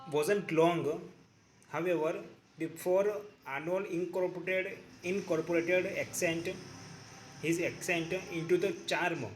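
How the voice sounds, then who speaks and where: A man reading a book aloud in a halting way, repeating each sentence and correcting his own slips. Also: fairly high pitch, slight background noise, poor audio.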